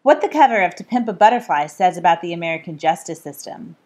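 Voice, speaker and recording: A woman's voice: fairly low pitch, lively intonation, fast, darkish tone. A woman who is an author, reading her own book aloud in a scripted style. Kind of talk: scripted read-aloud narration.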